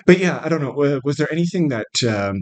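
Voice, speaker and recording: A man teaching a small literature seminar, talking hesitantly with lots of fillers and checking in with "right?", his voice a little rough from coming down with something. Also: fairly high pitch, expressive, quick pace, a little mellow. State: slightly unwell, voice cracking. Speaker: literature instructor. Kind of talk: casual seminar lecture. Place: small classroom.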